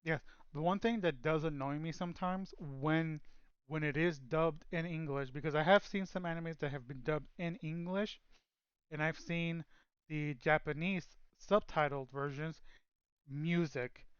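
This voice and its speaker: A man's voice: a little high, a little slow, smooth, no echo, lo-fi sound. A man co-hosting a casual chat show, rambling with lots of fillers and restarts. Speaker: podcast co-host.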